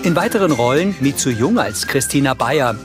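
Male narrator with lively pitch over music. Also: moderate pace. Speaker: narrator of audio description. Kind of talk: scripted narration, descriptive.

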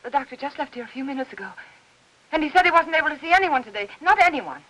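An actress in her thirties with an American accent playing an anxious, pleading housewife in a fifties film melodrama, halting under strain. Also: high-pitched, animated, sharp tone, rough recording. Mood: tense, firm. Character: anxious, pleading suburban housewife guarding a secret. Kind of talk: 1950s melodrama film acting. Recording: hissy old 1950s film soundtrack.

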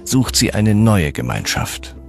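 A man's voice: on the low side, big pitch swings, measured pace, vocal fry. A man reading scripted documentary narration with dramatic storytelling delivery. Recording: music behind the voice. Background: background music.

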